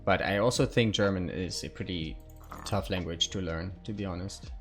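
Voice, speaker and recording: Low-voiced Hungarian man chatting while gaming. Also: moderate pace, music in the background. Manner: chatty, answers viewer chat, many fillers. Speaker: streamer. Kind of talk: casual chat while gaming.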